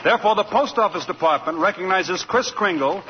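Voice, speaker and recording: A man's voice: high voice, animated, a little fast, background noise. An actor in a 1940s radio play voicing an earnest, persuasive young male lawyer, on a dull old broadcast recording.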